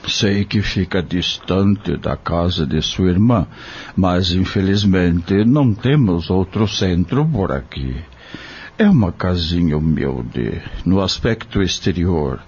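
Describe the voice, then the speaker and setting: Man acting a slow, deep-voiced, kindly country doctor. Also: slightly rough, highs cut off, heavily acted. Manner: solemn, measured, gently preaching. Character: kind, grave male country doctor, devout and fatherly. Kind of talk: audio drama acting.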